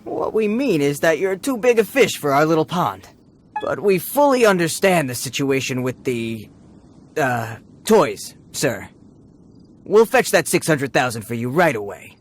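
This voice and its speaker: A man's voice: on the high side, big pitch swings, measured pace, a little hiss or hum. A man playing a nervous, fawning bandit in a video game, stammering and obsequious. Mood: anxious, placating. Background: background music.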